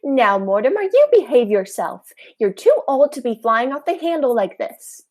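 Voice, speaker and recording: Girl playing a high, swooping, genteel old aunt. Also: sing-song, normal speed. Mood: gently scolding. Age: teenager. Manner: genteel, gently scolding. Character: sweet, unflappable elderly aunt, primly matter-of-fact. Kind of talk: high school radio play acting.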